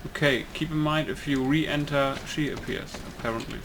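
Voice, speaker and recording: A man's voice: on the high side, fairly even intonation, normal speed, noisy background. A non-native English-speaking man giving casual, offhand, sweary gameplay commentary. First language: not English. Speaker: gamer, let's play commentator.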